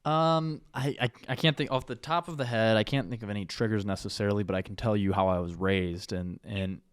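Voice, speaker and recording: A young man with a lowish voice chats easily on a podcast and agrees often. His voice is recorded close and dry, with no room sound. He tells his stories in a relaxed way. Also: a little fast, smooth, no echo. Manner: easygoing, agreeable, with many yeahs. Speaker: podcast co-host. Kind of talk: casual conversation.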